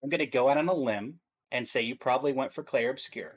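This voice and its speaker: A man's voice: mid-range voice, quick pace. A man co-hosting a stream, chatting casually about video games and thinking aloud as he goes. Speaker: stream co-host.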